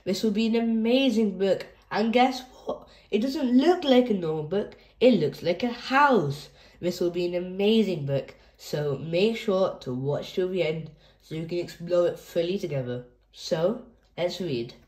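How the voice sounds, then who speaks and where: A woman's voice: lowish voice, expressive, unhurried, darkish tone, roomy sound. A woman hosting a children's read-aloud, reading a picture book expressively for young listeners.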